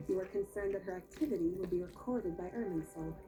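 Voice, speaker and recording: An actress voicing a composed, confident female leader in a video game, speaking in a calm, direct and assured way. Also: fairly low pitch, fast, background noise, close to an everyday voice. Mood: calm, serious. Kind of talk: scripted video game dialogue.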